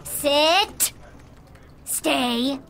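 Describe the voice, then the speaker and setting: A woman's voice: sits high, expressive, very slow, gravelly, a bit bright, faint music behind, full character voice. A woman playing a doting, eccentric dog owner in a comic audio drama. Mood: deadpan.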